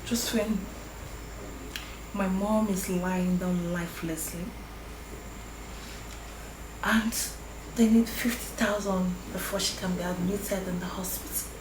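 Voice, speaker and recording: A woman's voice: on the low side, steady tone, slow, pauses often, background noise. An actress playing a wealthy young woman, tearful and anguished, pleading and crying out. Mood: grieving. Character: rich young woman torn over her sick mother. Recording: roomy echo with music underneath.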